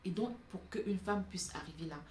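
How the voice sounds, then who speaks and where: Woman, lowish voice, emphatic vlogger, repeats key phrases, echoey room. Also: expressive, normal speed. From francophone Africa. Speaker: vlogger addressing African women. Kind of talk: impassioned off-the-cuff opinion monologue. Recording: echoey room with background noise.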